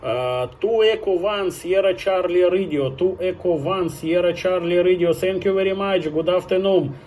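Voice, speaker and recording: A man making a ham radio contact, spelling in phonetics and deliberately repeating his words over clear background noise. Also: high voice. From France. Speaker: amateur radio operator.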